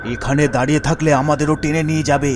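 A man voicing an anxious, urgent young friend in an animated Bengali ghost story, scared at a haunted cremation ground. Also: on the high side, quick pace, noisy background, lightly acted. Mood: frightened, urgent. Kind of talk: animated horror story dialogue. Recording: music behind the voice.

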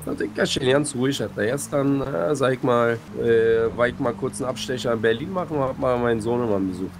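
A man's voice: mid-range voice, a little fast. A man playing a roleplay game, bantering in a brash, jokey, slangy way over voice chat. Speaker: fellow player in a roleplay game. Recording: clearly noisy game voice chat.

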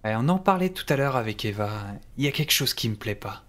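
A man's voice: mid-range voice, animated, quick pace, a bit bright, no room sound, natural voice. A man playing a casual, wry young hero who narrates his adventure in an audio drama.

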